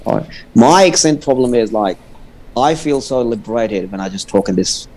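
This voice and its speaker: A man's voice: medium pitch, normal speed, warm tone, poor audio. A man from India with an Indian English accent and Punjabi as his first language, chatting casually as a guest and stammering, often restarting his sentences. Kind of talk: casual livestream chat.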